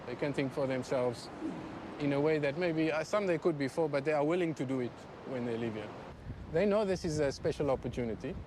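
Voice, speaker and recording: Training head guy, kinda high voice, steady, street noise all over. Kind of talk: interview.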